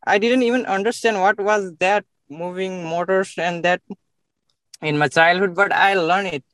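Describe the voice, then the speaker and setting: Young man, from Nepal, halting, many fillers, higher voice, smooth clear tone, dry close sound. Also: steady tone, fairly bright tone, no room sound. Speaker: interviewee. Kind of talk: casual interview.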